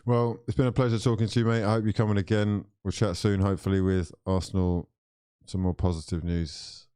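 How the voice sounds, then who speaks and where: A man with a low, dark voice hosts a live football stream, trading sarcastic, swear-laden banter with callers. The sound is dry and close to the mic. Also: flat delivery, fairly quick, clean tone, no echo. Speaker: watchalong stream host. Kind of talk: casual chat with callers.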